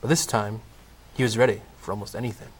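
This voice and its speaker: A man's voice: fairly low pitch, steady tone, quick pace. A man narrating a comedic Christmas audio play from a script, in storybook style. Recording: clearly noisy amateur recording.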